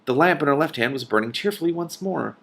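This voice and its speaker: A man reading a novel aloud as host, with animated, casual reactions between passages. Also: a little high, quick pace, gravelly.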